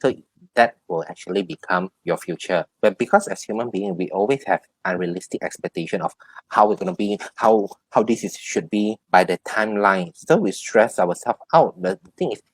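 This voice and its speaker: A deep-voiced man speaks as a tarot reader, offering guidance in a one-on-one session. He explains haltingly, circling back over his phrases, and the recording is dry and close. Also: breathy edge, dry recording. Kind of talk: spiritual advice in a one-on-one reading.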